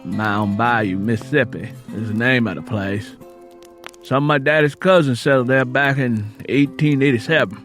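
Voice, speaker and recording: A man acts out a careful, plain-spoken country woman from the American South in an audiobook. The delivery is serious and measured, in rural dialect, over a music bed. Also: medium pitch, a bit bright, natural voice. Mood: informative. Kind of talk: acted audiobook character dialogue. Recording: music playing under the voice.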